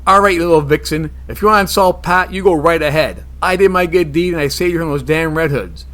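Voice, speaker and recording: A man's voice: on the high side, a little fast, fairly bright tone, natural voice. A man playing a hapless, hot-tempered monster hunter in a comedy-horror audio drama, pleading and exasperated. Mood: firm, protective.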